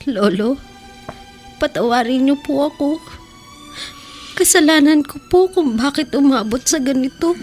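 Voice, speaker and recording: High-voiced, earnest young woman. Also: animated, unhurried, long pauses, a little mellow. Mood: apologetic. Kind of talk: radio drama acting. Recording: music bed behind voice.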